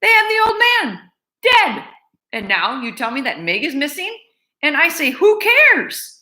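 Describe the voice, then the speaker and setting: Woman doing a shrill bossy servant lady, loud and scornful, bit of room echo. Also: big pitch swings, moderate pace. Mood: indignant. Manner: harsh, dismissive, shouting. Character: shrill scolding castle servant woman with keys. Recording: roomy echo.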